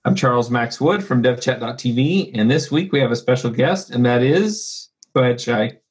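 Slightly husky podcast host man. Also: medium pitch, lively intonation, normal speed. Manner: friendly, chatty, laughs easily. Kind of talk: casual podcast hosting.

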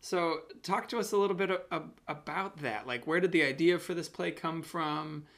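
A man's voice: a little high, moderate pace, a bit bright. A man with an American accent hosting an arts interview podcast in a conversational presenter's style. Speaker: podcast host. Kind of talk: scripted intro and casual interview.

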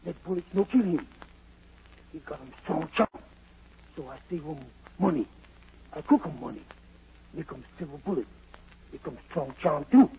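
Creaky, grim tracker, broken English. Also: male voice, a little high, expressive, moderate pace, pauses often. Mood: grim certainty. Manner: clipped broken pidgin English. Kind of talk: 1940s radio drama acting. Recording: muffled, noisy old radio recording.

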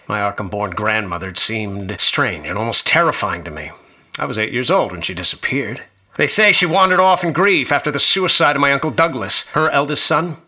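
A man's voice: mid-range voice, sharp tone. A man acting in a radio drama as a haunted young college student, uneasily recounting horrors. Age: young adult.

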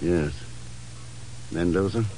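An actor playing a cool, determined secret agent, clipped and wry, in an old-time radio drama. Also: male voice, deep voice, takes it slowly, noisy background. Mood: grim.

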